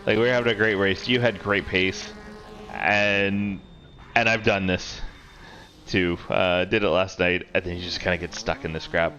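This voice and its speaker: Deep-voiced man musing over a replay. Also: muffled recording. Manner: relaxed, halting, thinks aloud with many fillers. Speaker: sim racing streamer. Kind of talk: casual replay commentary.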